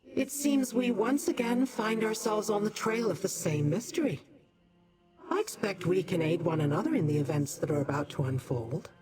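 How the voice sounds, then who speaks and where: An actor voicing a sly, courteous Daedra in a video game, speaking formally and theatrically, with condescension toward mortals. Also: male voice, high voice, sing-song, moderate pace, low-quality recording. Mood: suave, scheming. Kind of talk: scripted video game dialogue. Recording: music behind the voice.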